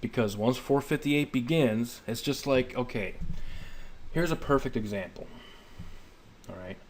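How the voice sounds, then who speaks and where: A man's voice: medium pitch, measured pace. A man in his thirties giving an informal, plainspoken Bible study lesson, explaining step by step. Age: 30s. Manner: plainspoken, step-by-step, repeatedly checking understanding. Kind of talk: informal explanatory lecture with scripture reading. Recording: noisy homemade voice-over recording.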